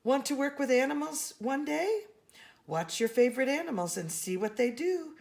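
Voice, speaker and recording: A woman reading a children's picture book aloud, expressively and with deliberate emphasis on key words. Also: high-pitched, sing-song, gravelly, darkish tone. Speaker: storybook reader.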